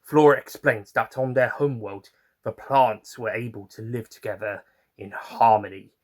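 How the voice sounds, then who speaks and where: A man's voice: medium pitch, steady tone, moderate pace, a little mellow, close to an everyday voice. A man playing an eccentric, chatty time-traveller who narrates his own adventures in an audio story with theatrical, fond asides. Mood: storytelling.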